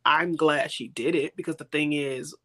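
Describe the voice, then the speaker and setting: Slangy woman with a lowish voice. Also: fairly even intonation, moderate pace, a bit bright. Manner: informal, slangy. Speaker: book club live show co-host. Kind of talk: casual book discussion chat.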